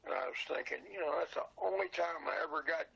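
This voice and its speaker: An older man with a very raspy, creaky voice, heard over a somewhat dull lo-fi line. His tone is bright and edgy as he reminisces casually as an interview guest. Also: on the high side, fast. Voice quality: husky, gravelly. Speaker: podcast guest, old radio show collector.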